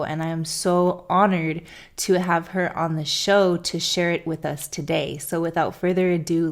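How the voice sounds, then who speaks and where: Young woman, podcast host, upbeat, enthusiastic, lowish pitch, living room, webcam. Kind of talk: podcast intro and interview.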